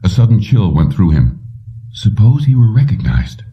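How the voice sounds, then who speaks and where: A man reading a suspense story as an audiobook narrator, in measured, dramatically broken phrases. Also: on the low side, sing-song, distant mic, muffled recording.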